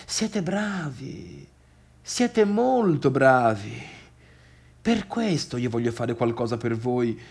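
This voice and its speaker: An actor playing a bitter, needy, boastful failed pianist in a halting theatrical radio monologue that swings between murmurs and outbursts. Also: male voice, medium pitch, sing-song, gravelly, a little mellow, husky, heavily acted. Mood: hesitant, wounded. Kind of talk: radio theatre monologue, addressing imagined listeners.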